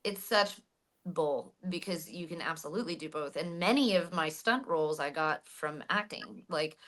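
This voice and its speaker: A woman guest talking casually in an interview, animated, with frequent fillers and restarts. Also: sits low, moderate pace. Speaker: guest, stunt actress and hypnotherapist.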